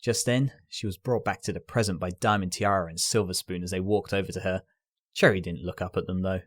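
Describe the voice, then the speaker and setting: A man reading a scripted fan fiction story as its narrator, with soft guitar music behind him. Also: low voice, fairly even intonation, a little fast.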